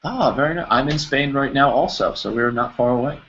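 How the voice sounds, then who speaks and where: A man's voice: mid-range voice, brisk, a bit bright. A man, teaching an English class online in a friendly, encouraging way with simple words. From New Jersey, United States. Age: 20s. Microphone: video call. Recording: muffled video call audio.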